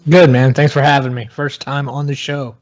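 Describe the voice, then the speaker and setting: A man giving casual sports analysis as a podcast guest, in a measured, halting way, on dull lo-fi audio. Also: mid-range voice, flat delivery.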